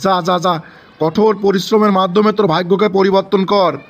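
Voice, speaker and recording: A man acts out a comic cartoon guru who lives in the forest. His voice is notably high, with a Bangladeshi accent. He preaches and chides in an exasperated way, repeating his orders for emphasis. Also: fairly even intonation, moderate pace, creaky. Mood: chiding. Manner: preachy, chiding, repeats commands. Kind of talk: exaggerated comic cartoon acting.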